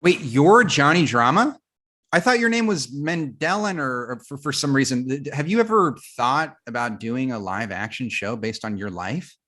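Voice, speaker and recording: A man's voice: medium pitch, animated, normal speed, sharp tone. A mid-voiced, arrogant TV star played by a man, smug and casually mean. It has the feel of a comedy table read. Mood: casual, persuasive. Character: arrogant, smug male TV actor, mean rival.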